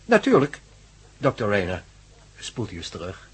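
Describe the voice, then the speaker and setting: Fervent science professor, very animated intonation, pedantic, faint background noise, radio drama. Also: male voice, lowish voice, moderate pace. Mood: polite, hesitant. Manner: hesitant, lecturing, exclamatory. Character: excitable, pedantic male science professor, obsessed with discovery.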